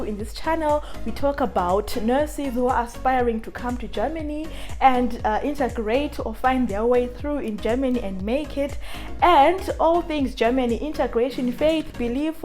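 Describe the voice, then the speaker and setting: A woman's voice: high-pitched, darkish tone. A woman with a Zimbabwean accent hosting a motivational advice vlog, speaking emphatically to her viewers and repeating phrases for stress. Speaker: vlogger, channel host.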